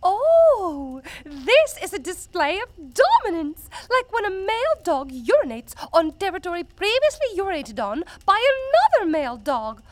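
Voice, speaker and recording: A woman's voice: very high voice, sing-song, moderate pace, hardly any pauses. A woman playing a naive, literal-minded naturalist in an audio drama, speaking formally and precisely as she studies human customs. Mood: curious, analytical.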